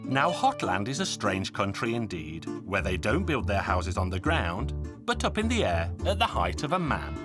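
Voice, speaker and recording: A man playing a boastful folk hero, telling absurd tall tales in theatrical, earnest storytelling style for an animated folk tale. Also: lowish voice, normal speed, music in the background. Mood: matter-of-fact wonder.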